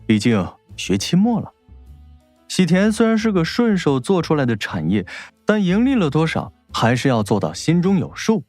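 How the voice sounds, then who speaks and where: A man narrating a scripted audiobook in an expressive storytelling manner. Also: fairly high pitch, normal speed, no room sound, soft background music.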